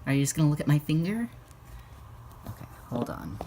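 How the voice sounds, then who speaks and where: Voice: mid-range voice, very animated, brisk, mellow, slightly rough. A vlogger chatting casually to camera at home, playful and sweary, cooing like a pet owner. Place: indoors at home.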